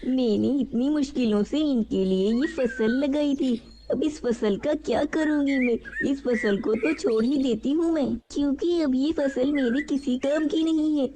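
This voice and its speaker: A woman's voice: high-pitched, a little slow, hardly any pauses, warm tone, slight background noise. A woman voicing a hardworking, trusting mother sparrow in a children's cartoon, speaking plainly and earnestly. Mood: sad, resigned. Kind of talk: children's cartoon story dialogue.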